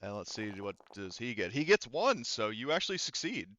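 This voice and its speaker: A man's voice: medium pitch, very animated, a little fast, sharp tone, muffled recording. A man narrating a tabletop game as game master, speaking casually and informally, with some swearing. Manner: profane, informal.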